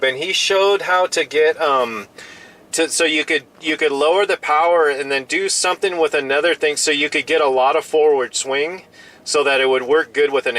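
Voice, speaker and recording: A man's voice: on the high side, pushed and bright. A man chatting casually as a radio hobbyist, often restarting and repeating his phrases.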